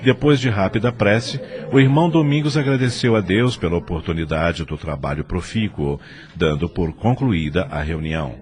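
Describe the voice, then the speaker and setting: A man's voice: deep voice, normal speed, a little mellow. A man narrating a scripted audio drama in a formal, literary storytelling manner.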